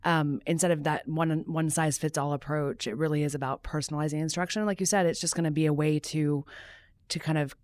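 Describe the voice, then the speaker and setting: Low-voiced woman explaining earnestly. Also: fairly even intonation, brisk, darkish tone, no echo. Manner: earnest, explanatory, frequent fillers. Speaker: education guest. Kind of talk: casual podcast interview.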